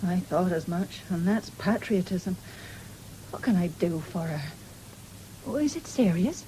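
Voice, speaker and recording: An actress in a 1970s radio drama playing a stern, stubborn farming widow who is blunt and forthright. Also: female voice, lowish voice, expressive, a little slow, warm tone. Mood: worried. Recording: noisy old radio drama recording.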